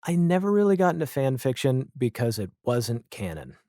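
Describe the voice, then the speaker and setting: A man's voice: mid-range voice, sing-song, moderate pace, warm tone, dry recording, clean studio sound. A man chatting casually as an interview guest, wry and opinionated. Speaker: podcast guest, audio drama creator. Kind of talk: casual interview chat about television.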